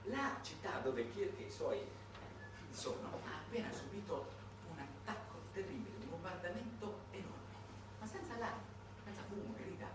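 A man delivers a satirical narrative monologue in a theatrical, highly varied voice. His delivery is dramatic and changeable. He is heard from far off in a very reverberant space, with clear noise on the recording. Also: expressive, moderate pace. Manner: dramatic delivery swinging between hushed and shouted. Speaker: stage monologist, writer-performer. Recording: echoey, noisy recording from a distance.

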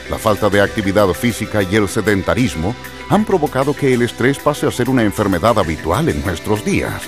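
A man narrates in a formal, didactic style. His voice is fairly low with lively intonation, over music. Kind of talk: scripted educational narration.

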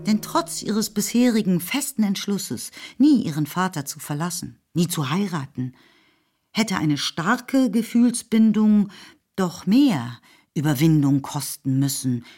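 A woman with a very low voice narrates a literary radio drama. Her delivery is composed and scripted, a storyteller's reading over faint music. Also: expressive, a little slow, warm tone.

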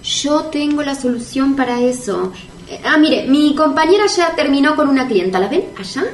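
An actress playing a chatty, ingratiating beauty-cream promoter with a Buenos Aires accent, effusive and polite, repeating herself in comic sales patter. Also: female voice, high voice, lively intonation, normal speed. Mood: persuasive. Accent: Buenos Aires Argentine. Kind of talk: comic radio sketch acting. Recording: distant, echoey, faint background noise.

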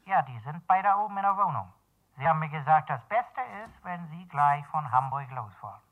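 An actor playing a fussy building caretaker in a 1970s German radio crime play, explaining things in a halting, folksy way over a telephone. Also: male voice, on the high side, lively intonation. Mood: agitated, dutiful. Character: fussy male building caretaker reporting to an owner. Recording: band-limited telephone line in radio play.

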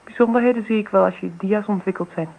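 A firm, businesslike wife in radio drama. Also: female voice, medium pitch, fast talker, vocal fry, darkish tone, low-quality recording. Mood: cool, firm. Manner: firm, matter-of-fact, direct. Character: assertive, businesslike wife and mother, cool and composed. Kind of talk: Dutch radio drama acting.

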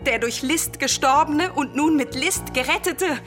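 Grieving defiant greek princess in a radio play, very emotional and declamatory, music under it. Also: female voice, sits high, a little slow, a bit bright. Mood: rapturous. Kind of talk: solemn radio drama acting of verse tragedy.

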